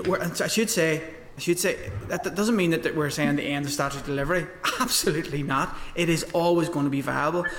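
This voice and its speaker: A man's voice: fairly high pitch, fairly bright tone. A man, giving evidence at length to a committee. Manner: light voice, explains at length. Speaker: youth sector witness. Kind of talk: committee hearing testimony. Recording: echoey hall with faint noise.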